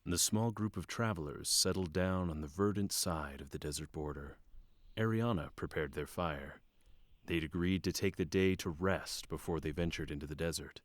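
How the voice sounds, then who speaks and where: A man's voice: sits low, moderate pace, no echo. A man narrating a fantasy audio drama from a script.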